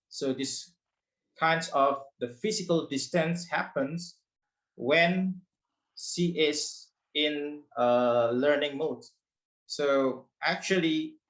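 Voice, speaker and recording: Guy giving a conference talk, lots of uh's and restarts, middle pitch. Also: fairly bright tone, rough recording. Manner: hesitant, many fillers and restarts. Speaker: academic presenter. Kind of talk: conference research presentation.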